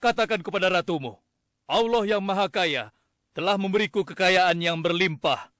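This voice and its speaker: A man playing a stern, dignified and devout prophet-king in an animated story, with solemn, declamatory, formal phrasing. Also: sits high, moderate pace, dry recording, clean studio sound. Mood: stern, proud. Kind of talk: dubbed animated religious story acting. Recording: loud music under the voice.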